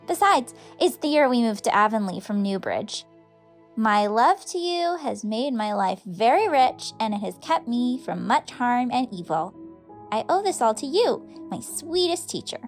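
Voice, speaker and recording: A woman's voice: a little high, vocal fry. A woman playing an adoring schoolgirl who reads a flowery love letter in a gushing, dramatic audiobook style. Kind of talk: audiobook character reading.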